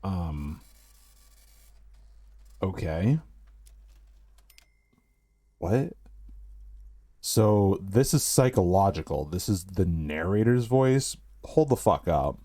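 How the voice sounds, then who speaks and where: A man with a deep voice casually commenting on a game as he streams, reading its text aloud between asides. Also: animated, slow pace, long pauses, mellow, no echo. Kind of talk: casual let's-play commentary.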